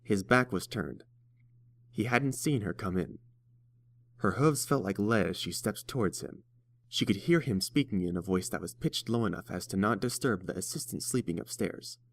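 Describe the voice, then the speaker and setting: Low-voiced man narrating a story. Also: lively intonation, normal speed, no room sound.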